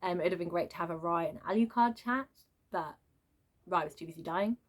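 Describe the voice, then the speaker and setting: A woman giving a casual, unscripted book rant in an exasperated, sarcastic and emphatic manner, with a slightly low voice. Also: fairly quick. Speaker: book reviewer.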